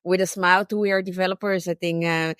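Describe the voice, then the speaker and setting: Woman, 30s, lowish voice, dry close sound, friendly, thoughtful, ums and uhs. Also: steady tone, fast talker, fairly bright tone, smooth, no room sound. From Bulgaria. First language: Bulgarian. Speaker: podcast guest, tech recruiter. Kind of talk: conversational interview.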